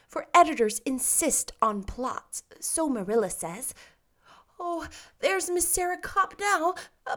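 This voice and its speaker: A woman's voice: high-pitched, a bit bright, voice pushed up. A woman voicing a talkative, dramatic teenage girl in a storybook reading, with a fanciful, gushing way of speaking. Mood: earnest.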